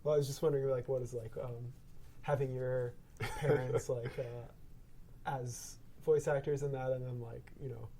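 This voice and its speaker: Mellow, hesitant man on a podcast. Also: medium pitch, steady tone, moderate pace, a little hiss or hum. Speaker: podcast guest. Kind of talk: casual round table chat.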